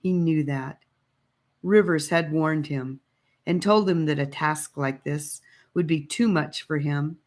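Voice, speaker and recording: A woman narrating a scripted story in a measured, expressive storytelling manner. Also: low voice. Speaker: audiobook reader.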